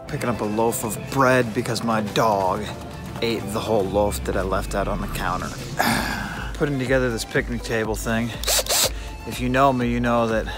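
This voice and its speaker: A man of forty, a youth pastor, narrating his day in a relaxed, chatty, self-deprecating way. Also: medium pitch. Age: 40s. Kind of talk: casual vlog narration.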